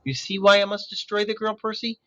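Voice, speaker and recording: A man's voice: high-pitched, completely flat delivery, brisk, pushed and bright, muffled recording, voice pushed up. A man voicing a sweet-talking old female monster who croons and coaxes in a purring voice. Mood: coaxing purr. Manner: crooning, coaxing purr.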